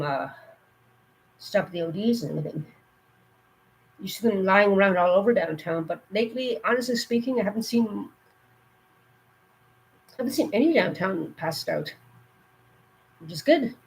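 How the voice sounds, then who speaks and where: A woman with a cold, her voice going hoarse, giving earnest safety warnings in a rambling, casual live stream. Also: mid-range voice, low-quality recording.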